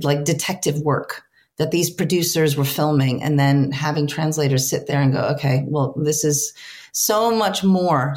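Low-voiced woman, earnest and searching. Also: a little mellow. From United States, Ukrainian family. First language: Ukrainian. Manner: earnest, searching for words, frequent restarts. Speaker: translator, interview guest. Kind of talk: reflective interview answers.

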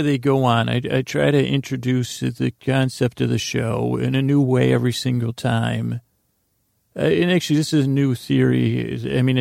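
A man hosting a sleep podcast, rambling through a halting bedtime monologue full of restarts and drawn-out pauses. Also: medium pitch, gravelly, darkish tone, no echo, studio quality.

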